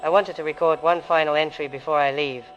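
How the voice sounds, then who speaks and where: An actor playing an eerily serene researcher, recording a calm, measured, detached diary entry for an audio drama. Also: male voice, on the high side, music in the background, natural voice. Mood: detached, reassuring. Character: eerily serene male researcher, secretly changed, logging recordings. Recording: dull lo-fi log recording.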